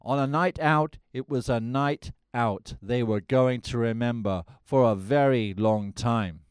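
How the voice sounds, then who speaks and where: A man voicing a grave, pompous older male storyteller, speaking slowly and solemnly with hesitant restarts. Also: medium pitch, clear, resonant tone, no room sound, clean studio sound, lightly acted. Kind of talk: parody of a musical film.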